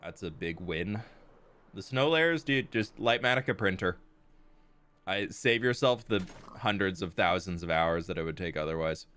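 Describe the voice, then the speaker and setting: Laid-back low-voiced man streaming a game. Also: lively intonation, normal speed, fairly bright tone, clear, resonant tone. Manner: laid-back, reads chat and answers between building. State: stuffed-up nose. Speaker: Minecraft streamer. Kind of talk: casual live-stream chat while building.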